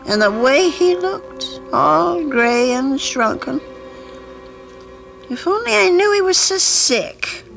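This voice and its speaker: Old landlady: kindly worrier, high creaky voice, old radio drama over music. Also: expressive, slow pace, a bit bright, exaggerated voice, rough recording. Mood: anxious musing. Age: older. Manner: fussing, motherly, muttering worriedly to herself.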